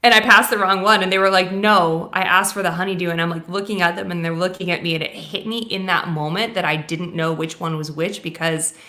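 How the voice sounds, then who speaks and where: A woman in her thirties whose first language is Russian, reading viewer questions and telling self-mocking stories in a lively way. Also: fairly low pitch, a little fast, hardly any pauses. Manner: animated, self-mocking storyteller. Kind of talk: casual live Q&A chat.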